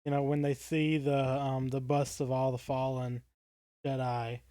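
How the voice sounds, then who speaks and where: A man's voice: mid-range voice, flat delivery, a little slow, warm tone, no echo. A man co-hosting a podcast, talking casually in an earnest and thoughtful manner. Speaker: podcast co-host.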